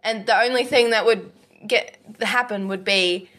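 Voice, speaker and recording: A woman co-hosting a casual podcast, easygoing and quick to giggle. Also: medium pitch, steady tone, fairly bright tone. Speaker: podcast co-host. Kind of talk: casual banter.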